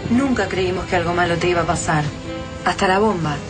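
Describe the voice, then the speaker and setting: A woman's voice: fairly low pitch, a little fast, natural voice. An actress playing an anxious, weary mother in a radio drama, with an Argentine Rioplatense accent. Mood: shaken, relieved. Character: adult woman Elena, anxious, weary mother. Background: music.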